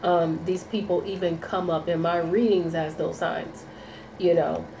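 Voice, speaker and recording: A woman's voice: lowish voice, steady tone, a little slow, roomy sound, noisy background. A woman giving a casual, rambling tarot reading. She sounds nasal from sinus congestion and keeps checking in with "okay?" and "you know". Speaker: tarot reader.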